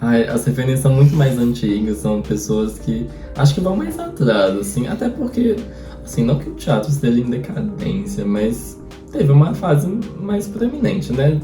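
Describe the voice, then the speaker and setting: A man's voice: medium pitch, very animated, moderate pace. A Brazilian man chatting casually about books as a host, thinking aloud and often wandering off on tangents. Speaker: host of a book discussion. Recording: roomy echo, distant mic, faint music.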